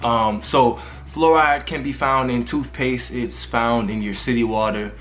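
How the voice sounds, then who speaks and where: A man's voice: mid-range voice, steady tone, a little slow, a bit bright, a little hiss or hum. A man hosting his own spiritual vlog in casual slang, hyping up and directly addressing his viewers, with faint music under his voice. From Haitian background. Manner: street slang, hypes the viewers. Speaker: spiritual vlogger.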